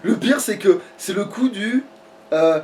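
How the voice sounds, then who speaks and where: High-voiced man in crude gaming chat. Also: animated, measured pace, a little mellow, slight background noise. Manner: crude, slangy, swearing. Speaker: video game player. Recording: distant from the mic.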